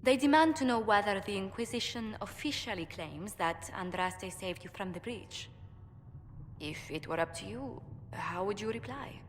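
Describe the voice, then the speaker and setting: An actress playing a tactful, courteous diplomat in a video game, speaking carefully and formally. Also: female voice, medium pitch, lively intonation, normal speed, lightly acted. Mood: polite, businesslike. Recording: reverberant hall, faint background noise.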